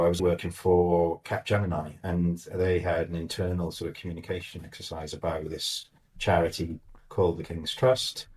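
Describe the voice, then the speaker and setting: An older man, a semi-retired mentor, explaining things in a measured, conversational way with frequent restarts. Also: deep voice, darkish tone. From Wales. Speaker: guest mentor. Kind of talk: relaxed conversational interview.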